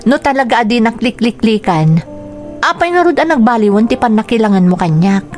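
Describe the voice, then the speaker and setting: A woman's voice: on the high side, animated, a little fast, darkish tone, soft background music, lightly acted. A woman playing a hurt, confused mother in a melodramatic radio drama, with an Ilocano accent. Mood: reproachful. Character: hurt, confused young mother rejected by her own child.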